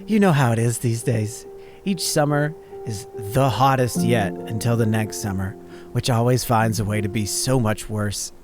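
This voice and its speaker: A breezy, upbeat young woman played by a man reading aloud, chatting away in a visual novel. She rambles casually and shrugs off danger, in a mid-pitched register, with music playing underneath. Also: natural voice. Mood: wistful, chatty.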